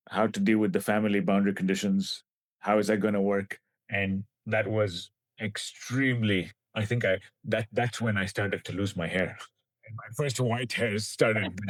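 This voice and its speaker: A man in his forties from Kenya, a scientist answering interview questions in a thoughtful, halting way with light laughs. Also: on the low side, no echo. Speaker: scientist guest.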